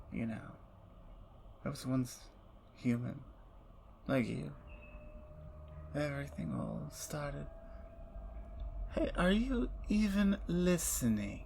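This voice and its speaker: A woman voicing a playful, talkative female vampire in an ASMR roleplay, teasing and dropping into soft whispers. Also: a bass voice, vocal fry, a little hiss or hum, heavily acted.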